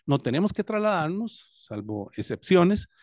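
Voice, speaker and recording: A Costa Rican man with a fairly high, mellow voice, speaking earnestly and explaining at length. He pauses often and hesitates with fillers. The sound is dry and close, with no room echo. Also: very animated. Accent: Costa Rican Spanish. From Costa Rica. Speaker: public defense director. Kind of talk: seminar audience comment.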